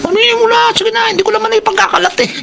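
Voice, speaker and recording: A man's voice: extremely high pitch, steady tone, rapid-fire, creaky, noisy background, full character voice. An actor playing a nosy male coworker in a radio drama, needling and conspiratorial as he eggs on his friend. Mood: egging on. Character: nosy, teasing male coworker who loves gossip. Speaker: coworker of the hero. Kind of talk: classic radio drama acting.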